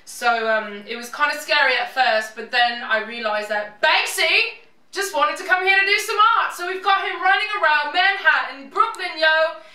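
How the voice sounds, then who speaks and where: A woman with a high, bright voice hosts a live house concert, putting on a British accent. She keeps it jokey and self-mocking. The sound is roomy and picked up from a little way off the mic. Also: animated, moderate pace, recorded from a distance. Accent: put-on British. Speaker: host of a live music show. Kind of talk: playful show introduction. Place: living room show space in a house.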